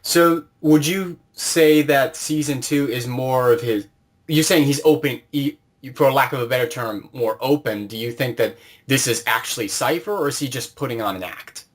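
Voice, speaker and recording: A man's voice: medium pitch, normal speed. A man conducting a casual interview, speaking conversationally as the interviewer.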